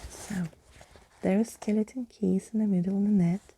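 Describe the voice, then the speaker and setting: A woman reading a children's story aloud in a soft ASMR style, often dropping into whispers. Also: medium pitch, steady tone, normal speed, a little hiss or hum.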